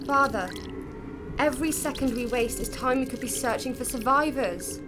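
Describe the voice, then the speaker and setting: An actress playing a young alien princess, an urgent and emotional daughter, in a sci-fi audio drama. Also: female voice, sits high, moderate pace, over music, lightly acted. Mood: urgent, exasperated.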